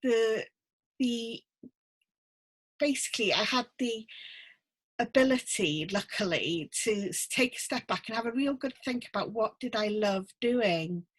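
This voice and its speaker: A middle-aged woman from North Wales, a guest talking gently and thoughtfully over a video call, with many ums and restarts. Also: a little high, animated, measured pace, husky. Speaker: guest, book mentor. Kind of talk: casual interview chat. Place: home room with full bookcase.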